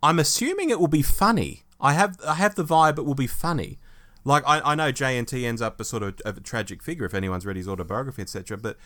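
Male co-host for casual fan podcast, wry, informal, middling pitch. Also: lively intonation, quick pace, fairly bright tone. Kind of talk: casual discussion of Doctor Who fandom news.

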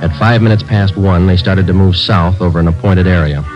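Stern detective, played by a man: deep, fast, clipped, unemotional, dull vintage radio sound. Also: over music, close to an everyday voice. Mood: deadpan narration. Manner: deadpan, clipped, matter-of-fact. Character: terse, stern, no-nonsense male police detective. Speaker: lead actor and narrator. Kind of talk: 1940s radio crime drama acting and narration. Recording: muffled old 1940s radio recording.

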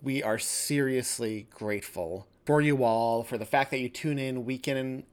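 A man's voice: mid-range voice, a little slow, clean tone. A man hosting a podcast, introducing the episode in an effusive, grateful way. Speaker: podcast host.

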